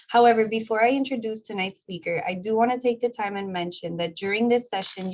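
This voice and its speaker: A woman who works as a nurse, hosting an online support meeting and reading a formal introduction smoothly from a script. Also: mid-range voice. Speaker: nurse and host. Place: video call. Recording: dull lo-fi video call audio.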